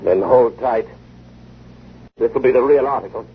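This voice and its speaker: A dull, slightly hissy vintage radio recording carries a man acting as an eager inventor. His voice sits at an ordinary male pitch. He speaks with persuasive assurance and firm emphasis, in the style of 1950 radio drama. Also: normal speed, lightly acted. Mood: excited, commanding. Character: confident, eager male inventor of a time machine. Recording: muffled, hissy old radio broadcast.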